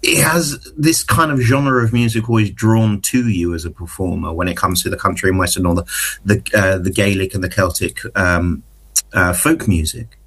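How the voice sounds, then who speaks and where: A man with a husky, low voice interviews a guest. His questions wind on at length, stalling on "uh" and "um" before he gets to the point. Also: steady tone, moderate pace, a bit bright. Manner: long meandering questions with many fillers.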